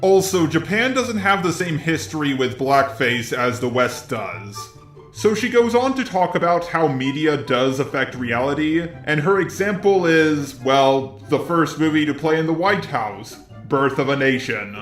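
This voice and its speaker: A man's voice: on the high side, expressive, a little slow, a bit bright. Guy doing scripted video commentary, bit echoey, music playing under him.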